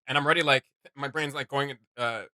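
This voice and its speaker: A man's voice: medium pitch, steady tone, brisk, bright, forceful tone, no echo, clean studio sound. A man co-hosting a reaction video, commenting casually in an informal, slangy, joking way.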